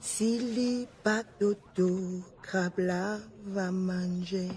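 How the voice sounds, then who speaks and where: An actress playing a shrewd, imperious madam in a video game, with a theatrical, teasing manner. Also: female voice, mid-range voice, level tone, extremely slow, darkish tone. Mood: commanding, sly. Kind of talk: video game dramatic acting.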